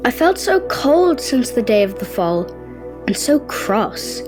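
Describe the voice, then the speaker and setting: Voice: mid-range voice, very animated, takes it slowly. A performer playing a cross, wistful young boy, telling his story in a confiding, reflective way in a children's audio drama. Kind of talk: first-person narration in children's audio drama. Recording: music clearly behind the voice.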